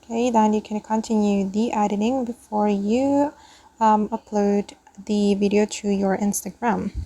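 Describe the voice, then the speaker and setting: A woman hosting a tutorial, narrating step by step with hesitant, drawn-out pauses. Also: medium pitch, fairly even intonation, mellow. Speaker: tutorial host.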